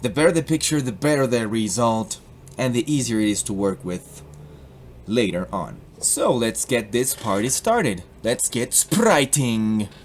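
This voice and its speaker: A young man with a Colombian accent casually explaining a software tutorial, joking and slipping into put-on accents. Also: medium pitch, lively intonation, a little slow, slight background noise. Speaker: tutorial host.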